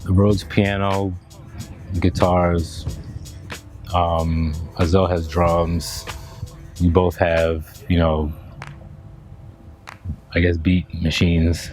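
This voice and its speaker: A very low-pitched American man talks casually as a musician being interviewed. He is laid-back and conversational, dropping in slang. Music plays clearly behind his voice. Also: little pitch movement, very slow, long pauses, a little mellow. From Washington, D.C. area, United States. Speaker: musician and producer in a band.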